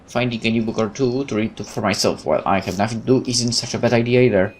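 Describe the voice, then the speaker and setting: A man's voice: mid-range voice, steady tone, fast talker, slight background noise. A man reading a visual novel aloud as a let's play host, with informal muttered asides and interruptions to himself.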